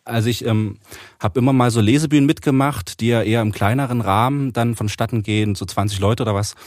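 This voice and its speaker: A man's voice: mid-range voice, fast talker, darkish tone, no echo, clean studio sound. A man speaking as an interview guest, explaining things casually and a little hesitantly as he thinks aloud. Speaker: interview guest, author and poetry slammer.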